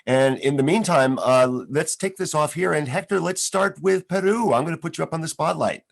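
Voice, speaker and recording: A man's voice: fairly high pitch, moderate pace, vocal fry. A man hosting a live online music show for schoolchildren, chatty and friendly, with many fillers, over a video call. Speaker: host.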